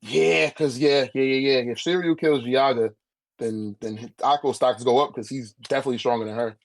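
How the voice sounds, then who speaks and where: A man chatting casually in an animated, slangy manner with heavy swearing, as a guest in a friendly debate. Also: mid-range voice, normal speed. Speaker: guest debating a manga tier list. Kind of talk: casual chat.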